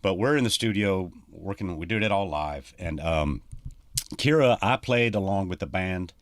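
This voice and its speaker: Very deep-voiced man telling stories. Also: animated, moderate pace, dry recording, clean studio sound. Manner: rambling storyteller, many "you know"s. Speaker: touring country musician, guest. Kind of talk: casual podcast conversation.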